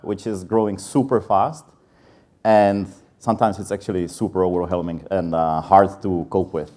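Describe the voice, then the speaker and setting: A man's voice: on the low side, mellow. A man in his thirties with a Czech accent, giving a candid, self-deprecating conference talk with frequent filler sounds. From Czech Republic. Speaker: conference speaker. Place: conference stage.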